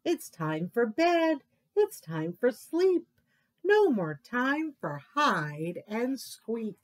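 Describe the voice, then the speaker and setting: A playful daddy mouse, as a woman reading a bedtime book puts him on calls out for his hiding little one in a sing-song rhyme. The voice is fairly high and bouncy, with wide swoops of pitch. It stays unhurried and gentle, close to the reader's own voice. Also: big pitch swings, exaggerated voice. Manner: sing-song rhyming chant.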